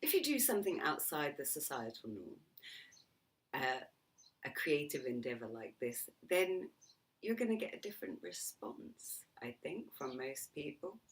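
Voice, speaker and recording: A woman's voice: sits low, big pitch swings, normal speed, distant mic. A middle-aged woman vlogging in a chatty, reflective monologue, laughing often and dropping into whispered asides. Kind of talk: reflective casual monologue to camera.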